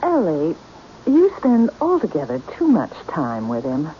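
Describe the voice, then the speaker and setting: A woman's voice: low-pitched, wildly swooping pitch, a little slow, heavily acted. An actress playing a protective, suspicious middle-aged mother who works as a cook and scolds in an old radio drama. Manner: scolding, hesitant when broaching delicate warnings. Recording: dull, noisy vintage radio drama recording.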